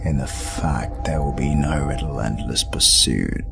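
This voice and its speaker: Man acting a very deep, gravelly British spirit. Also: over loud music, heavily acted. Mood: ominous, solemn. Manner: slow, deliberate, weighty. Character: solemn, menacing ghostly spirit guide, grieving father of villainous repute. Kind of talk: audio drama acting.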